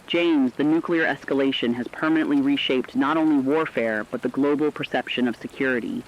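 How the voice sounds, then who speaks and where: An earnest male war correspondent played by a man comes through in dull, lo-fi radio sound. His mid-pitched voice gives a scripted report, formal and measured. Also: close to an everyday voice. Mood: grave, earnest.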